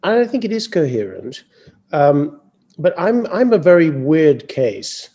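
A man who is a novelist speaking as a panel guest, halting and reflective, with stammered repeats. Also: fairly high pitch, very animated, mellow, breathy edge, highs cut off. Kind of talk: panel discussion.

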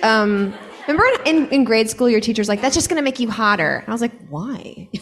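A woman who is a songwriter, chatting casually as an interview guest in an animated, joking, self-deprecating way. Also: a little fast. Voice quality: low singing voice.

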